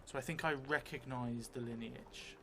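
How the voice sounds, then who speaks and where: A middle-aged man thinking aloud as he improvises a solo tabletop game, hesitant and musing with long pauses. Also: mid-range voice. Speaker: solo role-player and streamer. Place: indoor theatre room, seated at a table. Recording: clear steady background noise.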